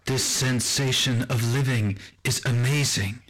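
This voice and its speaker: A man's voice: medium pitch, fairly even intonation, unhurried, fairly bright tone. A man voicing an earnest, wondering, newly sentient machine in a radio drama, with formal, stilted robotic phrasing. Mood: anguished wonder. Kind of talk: scripted radio drama acting.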